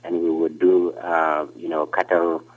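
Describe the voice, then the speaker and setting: A man in his forties from South Sudan, a radio guest talking haltingly with many fillers. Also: sits low, little pitch movement, phone-quality sound. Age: 40s. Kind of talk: radio interview by phone.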